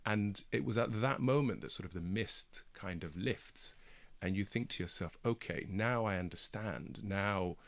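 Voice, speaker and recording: A man's voice: fairly low pitch, measured pace, vocal fry. A man in his thirties, born in Kenya with Swahili as his first language, speaking earnestly and emphatically as a guest in a radio studio discussion. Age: 30s. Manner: earnest, emphatic, repeats words when stressing. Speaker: barrister and broadcaster guest.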